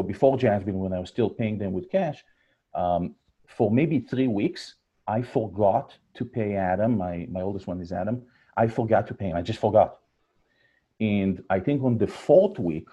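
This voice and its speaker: Low, dark-voiced Israeli man. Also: breathy edge, highs cut off. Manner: storytelling, many fillers and restarts. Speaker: guest, startup founder. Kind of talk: podcast interview.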